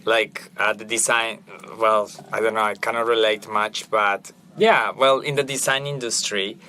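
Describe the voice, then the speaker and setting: Male podcast host, lowish and bright tone, relaxed and loosely rambling. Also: moderate pace, slight background noise. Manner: relaxed, rambling with many fillers. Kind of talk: casual interview chat.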